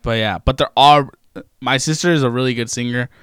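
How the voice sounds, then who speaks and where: Young guy on a podcast, cursing a lot, rambly, smooth voice, dry close mic. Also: medium pitch, brisk, fairly bright tone, dry recording. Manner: loose and profane, rambling with trailing asides. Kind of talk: casual chat.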